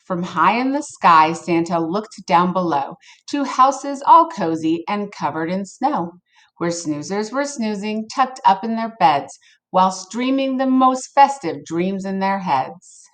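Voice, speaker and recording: A woman reading a picture book aloud to children in an expressive, playful way, with casual asides to her listeners. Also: medium pitch, measured pace. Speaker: storybook reader for children.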